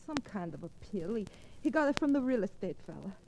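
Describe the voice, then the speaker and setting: A woman playing an anxious, plain-spoken American boxer's wife with halting delivery in old radio drama style. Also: medium pitch, very animated, creaky, a little mellow, a little hiss or hum, rough recording. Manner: halting, unpolished speech. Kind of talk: 1940s-50s radio drama acting.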